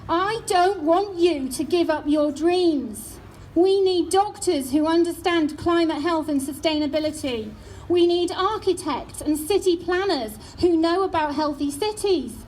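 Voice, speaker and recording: Woman, high voice, earnest rally speaker outdoors, light background noise. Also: a little mellow. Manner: earnest, slows down for emphasis. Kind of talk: rally speech.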